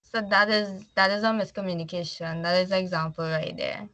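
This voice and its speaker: A woman's voice: on the low side, fairly even intonation, a little fast, fairly bright tone, dry recording, poor audio. A woman from Trinidad with a Trinidadian accent, giving a class slide presentation. She reads from slides, then explains in loose everyday talk.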